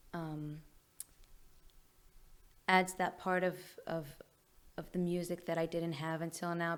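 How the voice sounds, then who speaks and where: A woman from Israel with an Israeli accent, a singer-songwriter answering interview questions thoughtfully with frequent pauses. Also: fairly low pitch, smooth.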